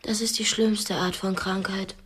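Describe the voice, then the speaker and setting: A woman's voice: medium pitch, level tone, unhurried, fairly bright tone, breathy edge. An actress in a radio drama playing a proud, cultured young woman held captive, articulate, candid and critical. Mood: cool, probing.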